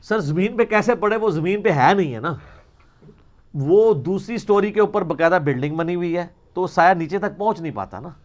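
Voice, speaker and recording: A man's voice: sits high, animated, moderate pace, highs cut off. A man with a Pakistani Punjabi accent answering religious questions in an informal lecture, arguing emphatically with rhetorical questions and slipping into Punjabi.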